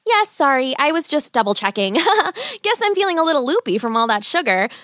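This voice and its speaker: A quick-witted little storybook girl, played by a woman, with a high, lively voice recorded dry. She sounds plucky, earnest and chatty. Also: no echo. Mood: innocently stalling. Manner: earnest, chatty, feigning confusion. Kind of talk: children's storybook character voice.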